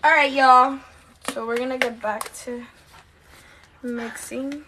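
A woman in her twenties chatting casually with her mother, relaxed and offhand with quiet asides. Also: sits high, slow pace, slight background noise. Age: 20s. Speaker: host's daughter. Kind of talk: casual off-the-cuff chat.

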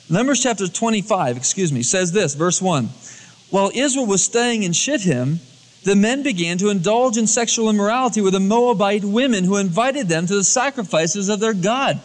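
A man's voice: high voice, expressive, normal speed. A man preaching a storytelling sermon as a pastor, acting out dialogue in everyday colloquial words. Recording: long hall reverb, cassette tape transfer.

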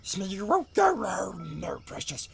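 A man voicing a wretched, whiny old creature with a hissing, croaky voice in a dramatised audiobook. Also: sits high, huge pitch swings, unhurried, a crowd in the background, pitched above the performer's own voice, exaggerated voice. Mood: whining, wary. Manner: pleading, repetitive, speaks of himself in third person.

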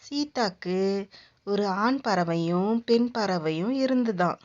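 A woman's voice: medium pitch, takes it slowly, a little mellow. Female narrator for kids' animal tales: mid-range voice, storytelling delivery, slightly muffled recording. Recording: dull lo-fi audio.

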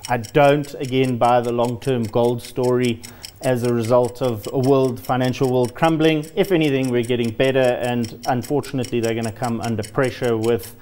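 A man's voice: mid-range voice, fairly even intonation, moderate pace, a little mellow, slight background noise. A man in his thirties debating as a guest analyst in a TV studio, explaining his case. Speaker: investment analyst, show guest. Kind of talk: unscripted market debate. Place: TV studio, standing.

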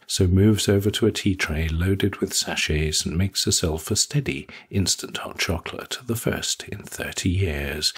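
A man narrating a scripted short story for an audiobook, with a husky, rough-edged voice. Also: low voice.

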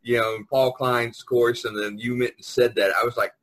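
A man's voice: medium pitch, level tone, moderate pace, noisy background. An American man hosting a casual podcast chat on a video call, talkative and jokey, prodding the others along. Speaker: podcast host, visual artist. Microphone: online video conference call.

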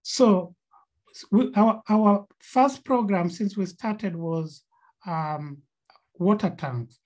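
A woman's voice: mid-range voice, very slow, darkish tone. A woman with a Kenyan accent, co-founder of a nonprofit, presenting slides on a video call with frequent fillers and repeated words.

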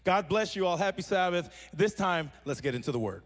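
A man's voice: high voice, lively intonation, a little fast. A man holding a handheld mic, giving upbeat church announcements in a sanctuary. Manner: upbeat, encouraging. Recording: hall reverb in a sanctuary.

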